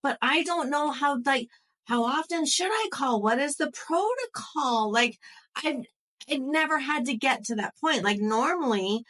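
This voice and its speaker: A woman's voice: high-pitched, expressive, a little slow, a bit bright. A young adult woman hosting a podcast, chatting enthusiastically and often saying "like". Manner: enthusiastic, chatty, uses "like" a lot. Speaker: podcast host. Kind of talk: casual interview chat.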